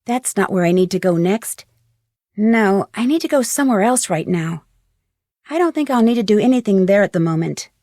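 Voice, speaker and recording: A woman's voice: medium pitch, animated, moderate pace, warm tone, dry recording, clean studio sound, natural voice. An actress in a video game playing a young female amateur sleuth, earnestly thinking aloud. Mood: pondering. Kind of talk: scripted video game voice acting.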